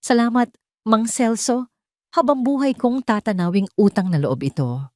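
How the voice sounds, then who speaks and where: A woman's voice: fairly high pitch, normal speed, darkish tone, no room sound, pitched above the performer's own voice, natural voice. Wronged heiress, acted by a female narrator, guarded and emotional, audio drama, very dry close sound. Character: wronged, guarded young heiress returning home in secret.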